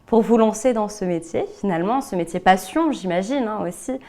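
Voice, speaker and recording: A woman with a mid-pitched voice interviews a guest in an informal register. She builds her questions loosely, with little tag words and pauses along the way. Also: lively intonation, a little mellow. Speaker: interview host. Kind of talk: conversational interviewing.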